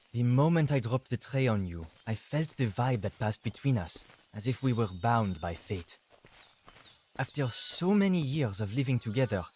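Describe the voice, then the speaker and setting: A man's voice: mid-range voice, a little mellow, a little hiss or hum, lightly acted. A man playing a messy, romantic, slightly nervous boyfriend in a game cutscene, earnest and theatrical, with French endearments. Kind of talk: dramatic game cutscene acting.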